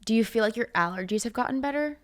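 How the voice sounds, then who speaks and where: A woman's voice: on the high side, expressive. A young woman co-hosting a podcast in a studio, chatting casually and cheering her friend on. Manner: supportive, quick to agree, laughs often. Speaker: podcast co-host. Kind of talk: casual chat. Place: recording studio.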